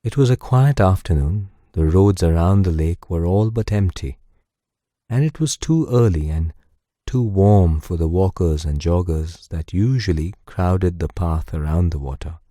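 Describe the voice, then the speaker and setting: A man narrating a guided sleep meditation and bedtime story in a soothing, gentle, unhurried way, close on the microphone. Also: sits low, animated, no echo. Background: soft music.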